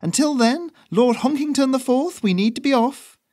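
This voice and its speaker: A man's voice: very high voice, animated, moderate pace, a little mellow, pitched above the performer's own voice. A man voicing a breezy, cocky postman who rides a goose, talking in a chummy, teasing, upbeat way.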